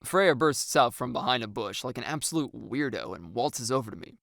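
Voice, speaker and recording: Gravelly, expressive audiobook narrator, close and dry. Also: mid-range voice, moderate pace, dry recording. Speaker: story narrator. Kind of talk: first-person audiobook narration with acted dialogue.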